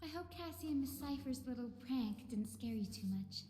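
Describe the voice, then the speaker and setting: A woman voicing a gentle, gracious young woman in a fantasy video game, speaking tenderly and politely. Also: high-pitched, animated, normal speed. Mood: tender, welcoming. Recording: echoey reverb with music behind.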